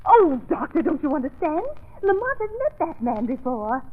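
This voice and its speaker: An actress playing an earnest, plucky young sleuth in old-time radio drama, emphatic and eager. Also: female voice, high voice, sing-song, moderate pace. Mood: excited. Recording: muffled narrow-band old radio recording.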